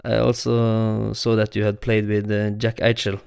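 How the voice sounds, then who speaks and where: Hesitant male interviewer, smooth voice. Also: fairly low pitch, monotone, moderate pace, darkish tone, dry recording, clean studio sound. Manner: hesitant, many uhs, non-native phrasing. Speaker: interviewer, host. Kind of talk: casual interview questions.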